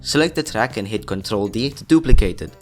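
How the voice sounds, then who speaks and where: A man's voice: fairly low pitch, expressive, fairly quick. A man narrating an upbeat, scripted tutorial as host, talking chattily and directly to his viewers. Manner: chatty, addresses viewers as guys. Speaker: host of an effects tutorial channel. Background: background music.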